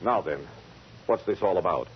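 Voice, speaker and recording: Gruff ranch boss, bass register, animated and blunt, muffled vintage radio recording. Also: male voice, normal speed, full character voice. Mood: puzzled, urgent. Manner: blunt, puzzled. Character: gruff, baffled male ranch owner. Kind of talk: 1940s radio drama acting.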